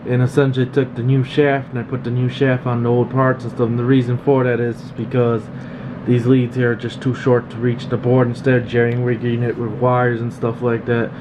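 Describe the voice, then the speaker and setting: A man's voice: medium pitch, brisk. A man, a repair technician, giving a casual, plain-spoken demonstration in an informal explaining way. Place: indoor electronics workshop. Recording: slightly dull, noisy hum of room. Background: steady background noise.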